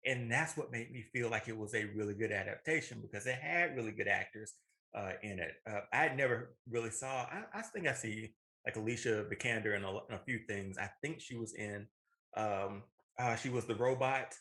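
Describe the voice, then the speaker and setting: A man's voice: on the low side, a little fast, bright, forceful tone. A man co-hosting a casual podcast, talking emphatically and rambling as he corrects himself. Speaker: podcast co-host. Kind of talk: casual animated movie discussion.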